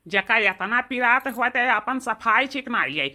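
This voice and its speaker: A woman's voice: fairly high pitch, animated, a little slow, pushed and bright, full character voice. A woman playing an indignant young village husband in a comedy cartoon, confrontational and emphatic, with an eastern Uttar Pradesh accent. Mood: defiant.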